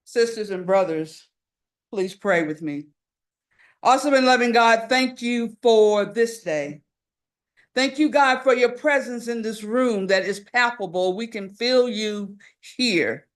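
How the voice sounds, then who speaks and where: Woman pastor praying in measured cadence. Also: a little high. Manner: measured, repetitive prayer cadence. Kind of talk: opening prayer. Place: city council chamber.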